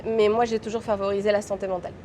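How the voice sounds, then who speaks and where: A woman's voice: medium pitch, rapid-fire, a little hiss or hum. Chatty French woman, interview guest. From France. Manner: lively, chatty storytelling. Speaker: guest, real estate broker. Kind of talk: casual interview chat.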